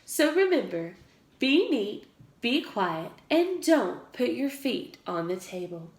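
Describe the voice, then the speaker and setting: A woman's voice: fairly high pitch, big pitch swings, slow pace, echoey room, exaggerated voice. A woman voicing a fond but firm mother frog, patiently giving instructions in a storybook reading.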